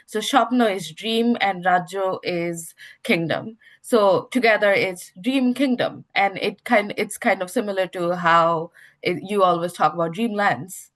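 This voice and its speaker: A young woman from Bangladesh whose first language is Bangla, answering interview questions earnestly with many pauses and fillers. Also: medium pitch, a bit bright. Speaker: guest, research award winner. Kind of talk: casual interview talk.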